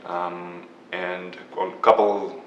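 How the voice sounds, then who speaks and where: A man from Ukraine, a photographer, answering interview questions in a soft, halting way with frequent restarts. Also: low voice, little pitch movement, highs cut off, slight background noise. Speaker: guest photographer. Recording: echoey room, mic from a distance.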